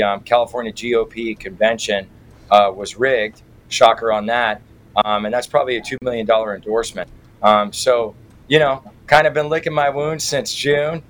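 A man's voice: mid-range voice, a bit bright. A middle-aged American man answering interview questions as an activist guest, with an irreverent, colloquial manner and folksy turns of phrase. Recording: clearly noisy background.

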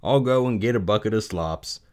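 A man voicing a calm, coaxing farmer in a storybook, with a kindly manner. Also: fairly low pitch, normal speed, lightly acted. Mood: calmly instructing. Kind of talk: storybook character voice.

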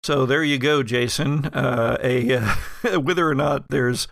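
A man narrating a tabletop role-playing game as game master, in a deliberate, wry, conversational way. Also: medium pitch, gravelly, fairly bright tone, no room sound, studio quality.

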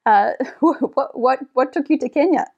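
A woman's voice: sits high, unhurried, breathy edge, dull audio. A woman hosting a podcast, reading a scripted intro and then asking friendly questions. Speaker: podcast host. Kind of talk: podcast interview.

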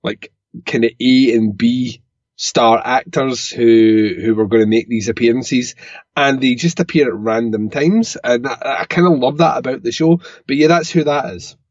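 Slightly hoarse man, rambling podcast chat. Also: medium pitch, steady tone, moderate pace, dry recording, dull audio. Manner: rambling, laughs often, trailing off quietly. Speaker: podcast co-host. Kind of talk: casual chat about TV. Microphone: better home microphone.

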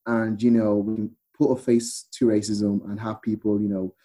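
A man's voice: fairly low pitch, level tone, normal speed, warm tone. A man with an Irish accent, chatting casually as a podcast guest and retelling events with quoted bits of dialogue. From Ireland. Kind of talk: casual chat, storytelling.

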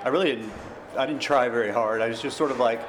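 American man, middle-aged, average pitch, casual interviewee, self-deprecating storyteller, noisy room ambience. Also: fast talker. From Memphis, Tennessee, United States. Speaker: novelist being interviewed.

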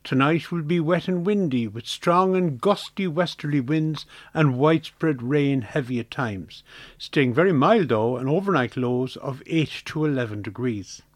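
A man reads a community radio weather forecast in a plain, steady voice that sits a bit high for a man. He is recorded close and dry, with no room sound around him. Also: moderate pace, no room sound. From Ireland.

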